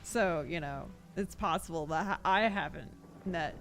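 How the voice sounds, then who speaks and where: A woman streaming a game, chatting casually with her viewers in a rambling, expressive way. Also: on the low side, moderate pace, faint music behind.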